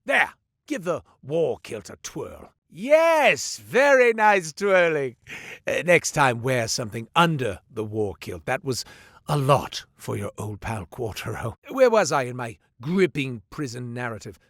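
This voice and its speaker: A man's voice: mid-range voice, very animated, a little slow, fairly bright tone, dry recording, studio quality. A man doing a theatrical rambling merchant. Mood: chatty. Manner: theatrical, rambling storyteller with comic asides. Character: chatty eccentric male merchant telling tall tales. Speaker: game character merchant. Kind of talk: scripted game voice lines.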